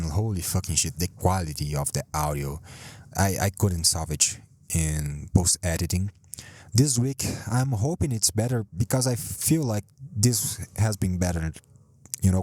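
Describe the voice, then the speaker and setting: A man's voice: low voice, animated, measured pace, a little mellow, no echo. A man hosting a solo podcast from a home setup, rambling and self-deprecating, swearing often and repeating himself, speaking close to the mic. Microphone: close home mic, sometimes too close.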